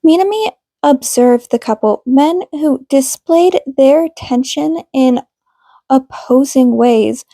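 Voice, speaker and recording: A woman's voice: high-pitched, slow, warm tone, dry recording. A woman reading scripted fiction aloud as the narrator of an amateur audiobook. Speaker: podfic reader.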